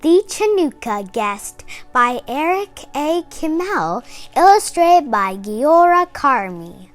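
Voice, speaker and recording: A woman's voice: high voice, big pitch swings, slow, soft background music. A woman storyteller reading a children's picture book aloud as a bedtime story.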